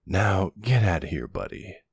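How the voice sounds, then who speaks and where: Mafia boss love interest, played by a man for ASMR roleplay: playful swagger, lively intonation, very close dry sound. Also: low-pitched, a little slow, no echo, heavily acted. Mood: gruff, bantering. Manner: playful, teasing, smooth-talking with tough-guy swagger. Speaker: voice actor in an audio roleplay. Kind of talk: intimate ASMR boyfriend roleplay acting.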